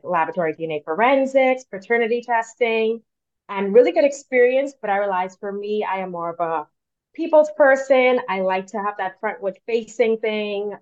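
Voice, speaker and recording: A woman from the Bahamas, in her thirties, speaking as a career coach guest in a relaxed, thoughtful way with frequent fillers. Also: mid-range voice, a little fast. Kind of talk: casual interview talk. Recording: dull lo-fi sound.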